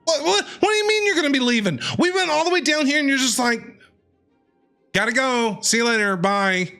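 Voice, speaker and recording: An excitable male gaming streamer comments sarcastically as he plays. His voice is bright and sits high, with animated pitch. Faint game music hums underneath. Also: brisk. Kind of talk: let's-play commentary.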